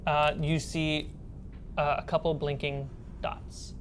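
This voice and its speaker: A woman's voice: sits low, level tone, slow, faint music behind. A woman running a tabletop game as game master, describing scenes in a casual, improvised way.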